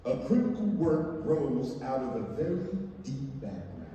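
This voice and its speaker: A dignified male writer played by a stage actor testifies slowly in a big reverberant theater. His delivery is earnest, wounded and formally polite, with emphatic rises and falls like an orator's. The voice is mellow and distant. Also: medium pitch, very animated. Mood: courteous. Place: library district theater stage. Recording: echoey theater, distant mic, faint noise.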